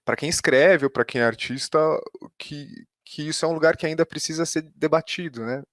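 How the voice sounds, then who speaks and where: A man's voice: a little high, a little fast, no echo, clean studio sound. A man with a Brazilian accent interviewing in a conversational way, putting his questions tentatively and reflectively. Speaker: podcast interviewer.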